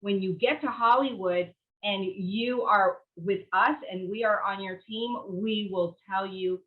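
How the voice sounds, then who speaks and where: A woman's voice: mid-range voice, measured pace. Chatty Venezuelan-born woman guest, muffled. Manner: warm, chatty, with hesitant fillers. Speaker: guest, actress and producer. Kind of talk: interview conversation.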